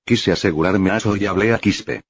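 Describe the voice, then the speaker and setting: A man reading a first-person account aloud in steady, unhurried storytelling. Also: sits low, slightly rough, dry recording. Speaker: narrator. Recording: dull lo-fi dry recording.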